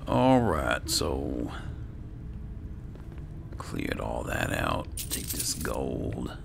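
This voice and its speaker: A man with an extremely low voice thick with fry murmurs his way through a video game. He mutters to himself at an unhurried pace, often half-whispering, with game ambience clearly audible behind him. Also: wildly swooping pitch, background noise. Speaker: gaming channel host. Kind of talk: hushed gameplay commentary with roleplay. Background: video game dungeon ambience.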